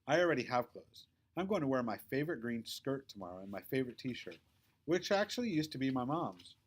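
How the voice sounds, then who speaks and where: An earnest young schoolgirl chatting away in a children's storybook, voiced by a man. The manner is eager and expressive, with pitch that moves around a lot. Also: fairly quick, slightly rough. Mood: earnest, defensive. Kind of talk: children's storybook read-aloud.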